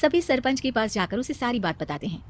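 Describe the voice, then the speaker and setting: A woman's voice: on the high side, sing-song, a little fast, no echo. A woman narrating a scripted story as the storyteller.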